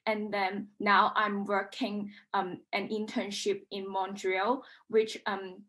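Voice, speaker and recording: A woman's voice: medium pitch, level tone, takes it slowly, fairly bright tone. Young woman on a video call, lots of ums, unsure. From Hong Kong. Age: young adult. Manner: hesitant, many ums. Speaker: intern.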